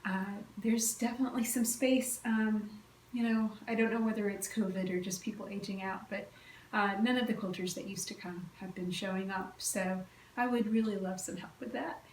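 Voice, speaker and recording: A woman's voice: mid-range voice, fairly even intonation, moderate pace, darkish tone. A woman with a slightly stuffy, cold-affected voice, chatting informally and enthusiastically as a craft podcast host. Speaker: podcast host, quilting teacher. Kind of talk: casual solo chat, craft update. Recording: roomy echo, distant mic, faint noise.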